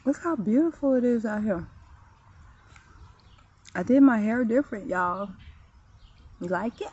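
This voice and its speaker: Slow-talking chatty woman, nearly forty. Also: fairly high pitch, expressive, darkish tone, slightly rough, lo-fi sound. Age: 30s. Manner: chatty, laughs often, talks to viewers. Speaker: vlogger. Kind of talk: casual outdoor vlog talk. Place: outdoors in a quiet park. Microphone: phone.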